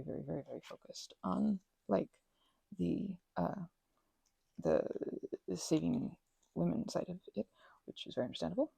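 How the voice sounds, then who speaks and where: A woman's voice: lowish voice, vocal fry, husky, no echo, rough recording. A young woman chatting casually while she crafts, rambling with sarcastic asides, recorded close on a microphone. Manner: rambling, animated, with mocking put-on voices and sarcasm. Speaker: ASMR channel host.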